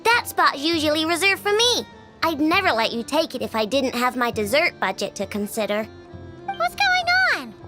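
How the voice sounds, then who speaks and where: A woman's voice: high voice, huge pitch swings, normal speed, bright, forceful tone, faint music behind, heavily acted. A woman voicing a small, childlike, cheeky girlish companion in a video game. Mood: indignant.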